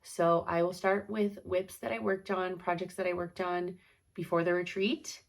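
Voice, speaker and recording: A woman's voice: on the low side, flat delivery, moderate pace, creaky. A stuffed-up woman in casual, rambling chat about her stitching projects, chatty and apologetic. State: congested, stuffed up. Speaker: cross-stitch vlogger.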